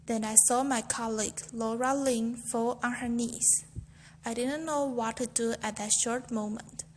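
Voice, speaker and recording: Young female student, careful earnest recitation, slightly high voice, light background noise. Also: steady tone, unhurried, darkish tone. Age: young adult. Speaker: student reciting a famous speech. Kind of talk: memorized speech recitation.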